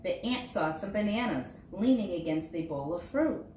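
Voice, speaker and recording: In a roomy, echoing space, a woman a little away from the mic reads a children's storybook aloud. Her pitch moves in lively swings as she stresses key words, and she takes her time over each line. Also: moderate pace. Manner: expressive, dramatizing key words for young listeners. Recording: roomy echo, distant mic, faint noise.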